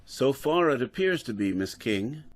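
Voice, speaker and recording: A man playing a dedicated, weary scientist professor in old-time radio drama style, speaking in a measured, formal way. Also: medium pitch, animated, natural voice. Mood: grave, hushed.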